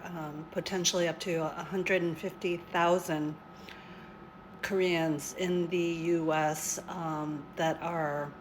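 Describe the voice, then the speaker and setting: A woman vlogger comments on the news in a slow, measured, thoughtful way. Her voice is low and unhurried. Faint background noise sits under the recording. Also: poor audio.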